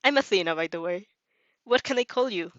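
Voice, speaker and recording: A woman's voice: mid-range voice, expressive, brisk, fairly bright tone, no echo, natural voice. A dry, lo-fi recording of a woman reading as a banished young vampire girl. She is friendly and naive, explaining herself in an earnest, chatty way, as in a storybook. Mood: friendly, curious.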